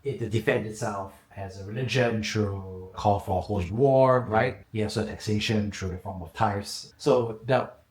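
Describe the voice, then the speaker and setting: A man's voice: lowish voice, measured pace, reverberant. A man hosting an interview podcast, probing his guest with counterarguments and history examples. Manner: probing, plays devil's advocate with history examples. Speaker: podcast host.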